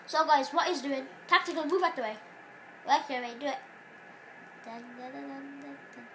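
A woman hosting a gaming channel, giving casual rambling commentary on gameplay while sick, sounding nasal and stopping to cough, recorded in a dark room on a phone aimed at a TV. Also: high-pitched, sing-song, unhurried, long pauses, slight background noise, poor audio.

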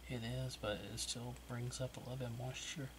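A man whispering casual ASMR craft chat in a hushed, unhurried way as he demonstrates his work. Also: medium pitch, little pitch movement. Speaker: crafter demonstrating wood burning. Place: indoors, carpeted room at home. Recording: noisy whispered recording.

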